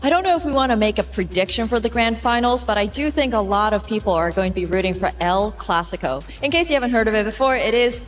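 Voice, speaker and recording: Female chess analyst, slightly high voice. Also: expressive, quick pace, hardly any pauses. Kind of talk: conversational broadcast analysis.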